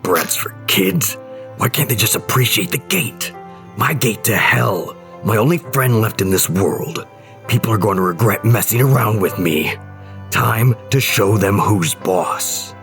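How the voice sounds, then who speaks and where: A man's voice: fairly low pitch, expressive, a little slow, vocal fry, fairly bright tone, faint music behind, exaggerated voice. A man playing a menacing, resentful husband who mutters with brooding contempt in a horror audio drama. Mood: bitter, menacing. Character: menacing, resentful husband obsessed with his gate to hell.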